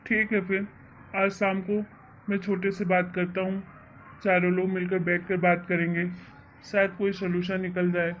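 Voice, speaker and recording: Woman acting calm, reassuring husband. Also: fairly low pitch, moderate pace, a little hiss or hum, close to an everyday voice, rough recording. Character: calm, reassuring elder brother, working husband. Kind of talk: acted dialogue in Hindi family story.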